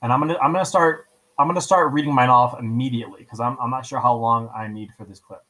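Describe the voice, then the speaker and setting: A man's voice: mid-range voice, fairly even intonation, fast, sharp tone. Man giving a casual step-by-step tutorial. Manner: informal, repeats himself, checks in with "right?". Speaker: teacher giving a software tutorial. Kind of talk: screen-recording tutorial, casual step-by-step instruction.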